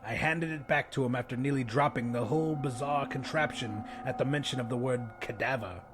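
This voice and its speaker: A man's voice: medium pitch, brisk. A man reading first-person fiction as a scripted audiobook narration.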